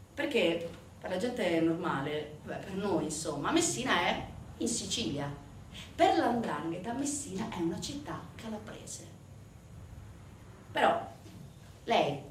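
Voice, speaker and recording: A woman delivering a theatrical narrative monologue to an audience, emphatic, with dramatic pauses and rhetorical questions. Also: mid-range voice. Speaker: stage actor performing a monologue. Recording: echoey, distant stage recording with noise.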